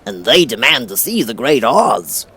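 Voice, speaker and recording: A male reader plays a gruff gatekeeper from a storybook. The voice is husky and rough, swinging widely in pitch as it makes formal announcements. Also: very animated, unhurried, a bit bright, heavily acted, rough recording. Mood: formal, announcing. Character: gruff male guardian of the city gates. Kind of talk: audiobook character voice.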